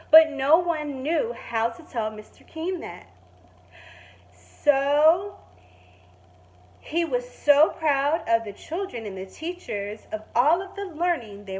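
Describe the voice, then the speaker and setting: A woman teacher reading a children's story expressively, stretching words for emphasis and pausing to put questions to the listeners. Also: high-pitched, a little mellow. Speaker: primary school teacher reading to pupils. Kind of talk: expressive children's story read-aloud with asides.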